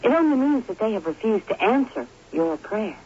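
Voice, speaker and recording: Through a muffled, noisy radio recording comes a mystical young woman. She speaks deliberately and entreatingly, gently persuading, with a lively rise and fall. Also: a little high. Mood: serene, persuasive. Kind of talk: radio drama acting.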